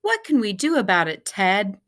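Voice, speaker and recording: A woman's voice: medium pitch, big pitch swings, normal speed, fairly bright tone. A woman voicing a big, friendly male dog in a children's storybook, with simple, earnest lines. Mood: puzzled.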